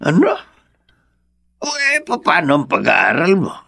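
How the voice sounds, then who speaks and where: Old filipino grandpa, gravelly but highish, concerned, swoopy radio soap delivery. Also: male voice, huge pitch swings, measured pace, heavily acted. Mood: concerned, reproving. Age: older. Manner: exclaiming, questioning, gently reproving. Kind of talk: Filipino radio drama acting.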